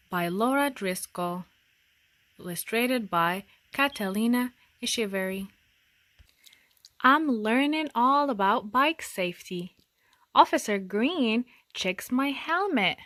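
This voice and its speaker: A woman reading a picture book aloud for young children in a deliberate, expressive storytelling manner. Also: on the high side, no room sound.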